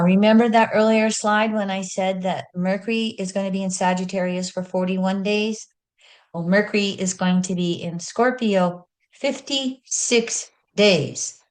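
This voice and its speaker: Middle-aged woman astrologer, slow and earnest, folksy teaching tone. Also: medium pitch. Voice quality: loud speaking voice. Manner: earnest, folksy, self-deprecating asides. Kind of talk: teaching astrology talk with slides.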